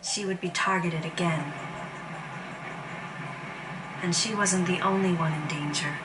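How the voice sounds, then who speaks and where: An actress playing a solemn female warrior, narrating her memories in a game with measured, introspective seriousness. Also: fairly low pitch, natural voice. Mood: somber reflection. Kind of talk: scripted game monologue narration. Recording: loud background music, slightly distant.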